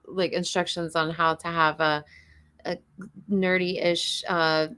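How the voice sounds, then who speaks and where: Woman, guest on a chat show, hoarse voice, tired, chatty, trails off. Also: fairly low pitch, level tone, slow, bright, forceful tone. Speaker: guest, host's new wife. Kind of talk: casual chat.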